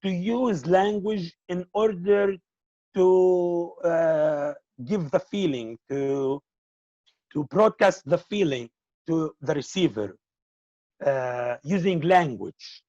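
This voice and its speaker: A man whose first language is Arabic, answering interview questions about filmmaking in a halting way and often repeating words. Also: fairly high pitch, darkish tone, no echo, highs cut off. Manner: halting, repeats words while searching for them.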